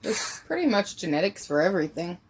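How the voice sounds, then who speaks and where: Matter-of-fact female co-host, low and husky, with gravel in her voice. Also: very animated, fairly quick. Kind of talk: casual chat.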